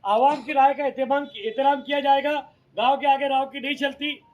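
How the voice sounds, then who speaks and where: A man's voice: very high voice, little pitch movement, normal speed, low-quality recording. A man moderating a community meeting, explaining points off the cuff and checking with his listeners. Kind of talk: impromptu public address.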